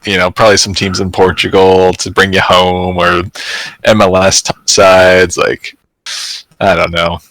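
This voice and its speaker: A man's voice: low-pitched, monotone, a little slow, fairly bright tone, no echo, poor audio. A man co-hosting a soccer podcast, talking casually, hesitant, with many fillers. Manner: hesitant, trails off with fillers and laughs. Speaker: podcast co-host. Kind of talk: casual sports chat.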